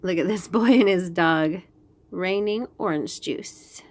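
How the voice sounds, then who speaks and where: A woman reading a picture book aloud to young children in an expressive way, with chatty asides and questions about the pictures. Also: fairly low pitch. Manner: expressive, pauses to point out pictures and ask questions. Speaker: children's read-aloud host.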